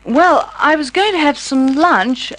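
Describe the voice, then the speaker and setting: An actress playing a seductive, scheming femme fatale, poised and coaxing, in 1950s radio drama style. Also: female voice, sits high, unhurried, a bit bright, rough recording. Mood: coy, seductive. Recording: old radio drama recording with music.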